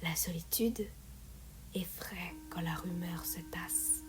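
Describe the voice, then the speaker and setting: A woman reciting a meditative poem in a soft, breathy, often whispery voice, measured, with long pauses between phrases. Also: on the low side, fairly even intonation, low-quality recording. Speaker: poet reciting own poem. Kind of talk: slow meditative poetry recitation. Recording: music and singing bowls behind voice. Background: meditative music with singing bowls.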